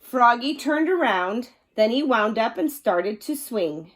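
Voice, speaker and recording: A woman's voice: on the high side, animated, takes it slowly. A woman storyteller reading a children's picture book aloud expressively, acting out the sound words with drama.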